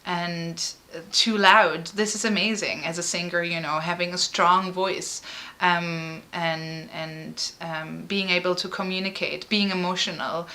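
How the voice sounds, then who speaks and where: Bright-voiced woman, halting and emotional. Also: on the low side, steady tone. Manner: halting and emotional, frequent fillers and restarts. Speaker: artist and musician. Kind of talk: personal interview.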